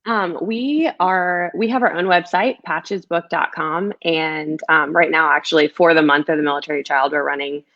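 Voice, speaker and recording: A woman's voice: deep voice, normal speed, few pauses, dull audio. A woman who is a children's book author, speaking in a storybook read-aloud or chatty setting. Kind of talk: storybook read-aloud, rhyming, then casual chat.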